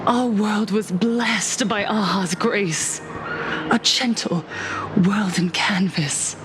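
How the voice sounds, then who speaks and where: A fervent cult preacher. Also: female voice, mid-range voice. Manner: impassioned, sermon-like, dropping to whispers. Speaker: cult leader preaching to a crowd. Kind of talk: game cutscene voice acting. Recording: game audio with music behind.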